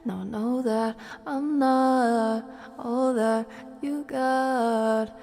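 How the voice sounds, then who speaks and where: A woman singing softly as a streamer on an ASMR stream of song requests. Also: on the high side, steady tone, drawn-out words, big-room sound, faint music behind. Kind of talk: soft ASMR singing stream with casual chat.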